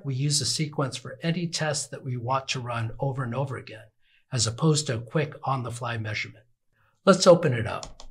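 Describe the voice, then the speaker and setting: An older man with a slightly husky voice gives scripted instructional narration in an orderly, explanatory manner. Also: mid-range voice, a bit bright. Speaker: product demonstrator.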